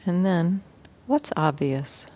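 A woman teaching meditation, guiding a sitting practice in a gentle, unhurried way with long pauses. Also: lowish voice, animated. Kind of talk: guided meditation instruction.

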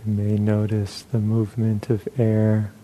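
A man leading a guided meditation as a teacher, speaking calmly and gently in measured phrases with pauses. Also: lowish voice, slight background noise, rough recording.

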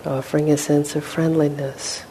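A woman leading a guided meditation as a teacher, speaking gently and without hurry, with long pauses. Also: level tone, poor audio. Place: meditation hall with a group. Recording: faint rumbling background noise.

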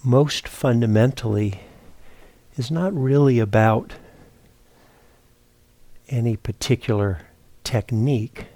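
A man teaching a guided meditation, speaking in a halting, reflective way as he searches for words. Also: mid-range voice, warm tone, no echo. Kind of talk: guided meditation talk, unscripted. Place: meditation retreat center, indoors.

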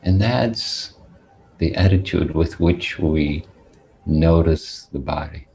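Male meditation teacher, low gravelly voice, deliberate phrasing with long pauses. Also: a little mellow, a little hiss or hum. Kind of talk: guided meditation talk.

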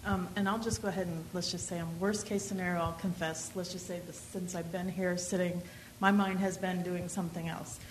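A woman with a lowish voice asks a long question from the floor of a class, circling and restarting as she looks for the right words. Her voice carries the echo of a large room over a faint hiss. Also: flat delivery, fairly quick, few pauses. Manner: rambling, searching for words, many restarts. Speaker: student asking a question. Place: meditation center hall.

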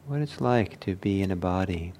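A man leading a guided meditation as a teacher, speaking in short, measured phrases with long pauses. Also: sits low, animated, darkish tone, slight background noise.

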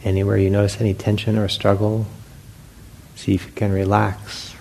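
A man teaching meditation to a group, giving gentle, unhurried guidance with long pauses between phrases. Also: on the low side, completely flat delivery, creaky, poor audio. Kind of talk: guided meditation instruction to a group. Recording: faint background noise.

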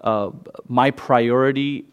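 A man's voice: mid-range voice, steady tone, slow pace, smooth. Casual-talking man speaking to an audience. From United States. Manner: loose and conversational, many fillers and restarts. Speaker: imam giving a talk. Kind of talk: informal talk to an audience. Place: meditation center hall.

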